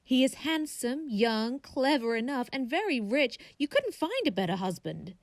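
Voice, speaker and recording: A woman's voice: high voice, big pitch swings, normal speed, no room sound, studio quality. A woman playing an inquisitive, prim young lady in a period audio drama. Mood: curious.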